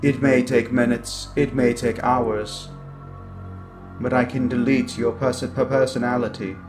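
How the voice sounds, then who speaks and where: A menacing, sentient machine, acted by a man speaks in an ASMR roleplay monologue. The delivery is slow and deliberate, cold with contempt for humankind. Words catch and repeat like glitches, with faint music behind. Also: medium pitch, flat delivery, darkish tone, natural voice. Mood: cold threat.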